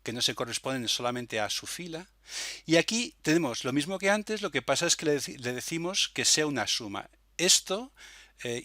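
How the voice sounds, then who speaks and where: A man presenting an unscripted software tutorial step by step, hesitant and often checking that listeners follow, close on the microphone. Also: a little high, no room sound. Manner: hesitant, frequent verbal tags seeking confirmation.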